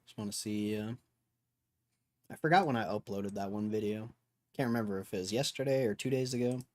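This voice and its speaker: A hoarse young man hosting a livestream in a loose, rambling way, talking to his chat and often clearing his throat. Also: fairly low pitch, a little fast, a little mellow. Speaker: livestream host.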